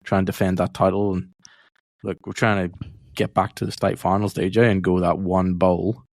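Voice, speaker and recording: A man's voice: deep voice, level tone, moderate pace, darkish tone, dry recording, studio quality. A man co-hosting a casual podcast, laid-back and easygoing, leaning on fillers. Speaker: podcast co-host.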